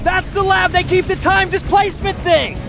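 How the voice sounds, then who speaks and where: An actor playing an excitable soldier in a video game, shouting frantic battlefield warnings. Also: male voice, very high-pitched, moderate pace, vocal fry, music in the background. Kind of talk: dramatic video game acting.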